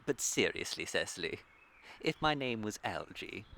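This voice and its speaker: A British man playing an impetuous, charming young gentleman in a comic period radio play, ardent and theatrical. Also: lowish voice, sing-song, moderate pace, vocal fry, slight background noise, exaggerated voice. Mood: ardent, wounded.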